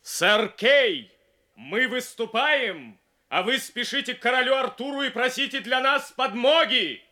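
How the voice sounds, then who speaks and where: An actor playing a resolute, valiant knight commander in an audio drama, firm and declamatory. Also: male voice, extremely high pitch, lively intonation, measured pace, bright, forceful tone, exaggerated voice. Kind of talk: Soviet audio drama acting.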